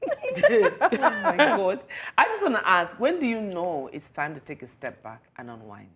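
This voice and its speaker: A woman hosting a casual panel chat in a TV studio, animated and quick to laugh, repeating phrases for emphasis. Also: mid-range voice. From Nigeria. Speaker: talk show host.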